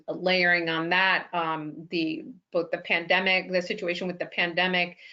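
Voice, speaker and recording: Woman, webinar host on a video call, fairly low voice, hesitant questions full of ums, muffled audio. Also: pushed and bright. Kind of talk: webinar interview.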